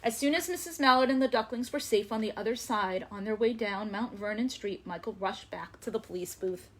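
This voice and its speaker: A woman reading a story aloud to young children as a librarian, expressive and emphatic, lingering on words. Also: on the high side, brisk, background noise.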